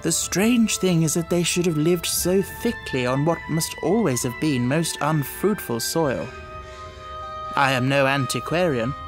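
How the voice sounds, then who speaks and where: A man voicing an earnest, reflective Victorian gentleman doctor, narrating his letters in a formal, measured audiobook style. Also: on the high side.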